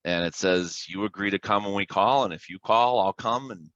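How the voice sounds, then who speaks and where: Male guest, American, very low pitch with a forceful edge, informal explainer on a dry, slightly dull recording. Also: moderate pace, no echo. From Illinois, United States. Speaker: podcast guest, fire dispatcher. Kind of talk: casual explanatory interview talk with anecdotes.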